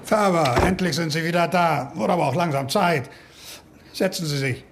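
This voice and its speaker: Older professor for radio drama, played by a man: gravelly, blunt, wry mentor, teasing but fatherly. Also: a little high, normal speed, background noise, close to an everyday voice. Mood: gruffly pleased. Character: older gruff professor, teasing, paternal, fond of a drink. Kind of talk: naturalistic radio drama dialogue.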